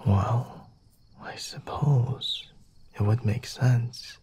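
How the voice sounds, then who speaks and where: A man voicing a flirty, condescending vampire in an ASMR roleplay, speaking deliberately in a husky half-whisper with sighs and hums. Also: mid-range voice, a little mellow. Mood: teasing, lenient. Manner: slow, deliberate, formal wording with sighs and hums. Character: flirty, condescending, merciful male vampire, self-assured and teasing.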